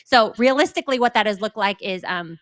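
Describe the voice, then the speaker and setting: A woman guest on a podcast, speaking in an earnest, reflective storytelling manner as a coach and speaker. Her pitch is moderate and ordinary. The recording is close and dry. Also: fairly quick, fairly bright tone, no echo. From Michigan. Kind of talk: storytelling in an interview.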